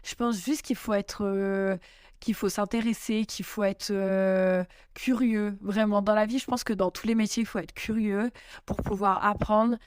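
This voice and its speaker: A young woman speaks in a smooth, clear voice, answering questions casually and thinking aloud. She hesitates often and restarts, filling gaps with small sounds. The sound is dry and close. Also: medium pitch, fairly even intonation, unhurried, no echo. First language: French. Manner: hesitant, many fillers and restarts. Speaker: guest. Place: home in Italy.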